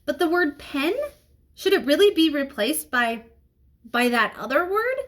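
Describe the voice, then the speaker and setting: A woman reading the part of a strict female schoolteacher in a storybook, formal, measured and admonishing. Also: sits high, animated, fairly bright tone, voice pushed up. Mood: measured, firm.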